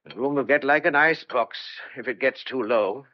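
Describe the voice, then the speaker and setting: An actor playing a polite, sympathetic gentleman, courteous and tentative, in an old-fashioned radio drama. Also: medium pitch, moderate pace, lightly acted. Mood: relaxed. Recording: dull lo-fi radio drama recording.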